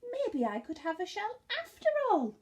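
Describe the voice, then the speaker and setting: Woman as naive, high-voiced little slug Norman. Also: huge pitch swings, normal speed, a little mellow, full character voice. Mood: wistful, hopeful. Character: naive, wistful, admiring little male slug.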